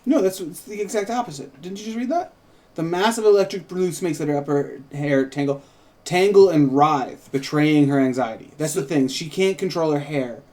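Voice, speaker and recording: A man with a somewhat raised pitch talks casually as a co-writer and host. He explains things in an opinionated, conversational way. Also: normal speed, darkish tone. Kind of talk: casual chat and script narration.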